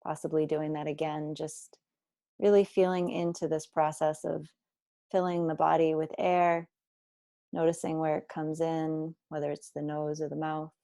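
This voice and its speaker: A woman guiding a body scan meditation, speaking slowly and deliberately with gentle instructions and long pauses. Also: sits low, level tone, creaky, dry recording, studio quality. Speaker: meditation teacher.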